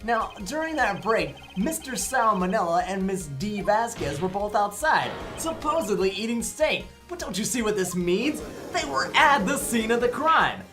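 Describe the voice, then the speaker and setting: A woman's voice: medium pitch, very animated, moderate pace, music in the background, lightly acted. A woman playing a cocky, wisecracking young defense attorney in a comedic courtroom game fan dub, speaking casually with showy sarcasm. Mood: cocky, arguing.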